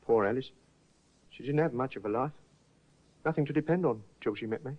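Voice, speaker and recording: A man's voice: medium pitch, brisk, lightly acted. An actor playing a shabby young man in a 1950s radio drama, defensive and pleading. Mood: mournful. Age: young adult. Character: shabby young man, nervous son and husband. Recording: muffled old radio broadcast.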